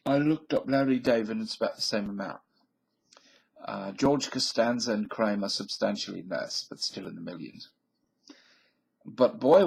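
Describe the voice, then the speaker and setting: A man's voice: fairly low pitch, creaky, husky, rough recording. A middle-aged man vlogging in a rambling casual monologue, blunt and opinionated, wandering off into asides.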